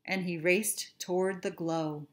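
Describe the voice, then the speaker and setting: Slow-paced middle-aged woman reading a storybook. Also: on the low side. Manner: expressive, deliberate phrasing for young children. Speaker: teacher reading aloud to first graders. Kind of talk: children's storybook read-aloud. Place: small furnished room at home.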